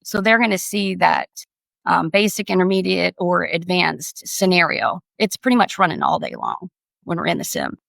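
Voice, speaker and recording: A woman's voice: fairly low pitch, very animated, moderate pace, gravelly, a bit bright, no room sound. A middle-aged woman speaking as an instructor, explaining things casually in an interview. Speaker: air traffic control instructor.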